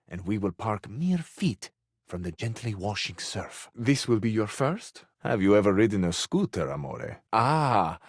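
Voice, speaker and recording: A man's voice: medium pitch, no room sound, studio quality. A man voicing a flamboyant, hopelessly romantic Italian charmer in a video game, with an Italian accent and a florid, amorous delivery that savors each phrase. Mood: playful, affectionate. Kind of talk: theatrical video game character acting.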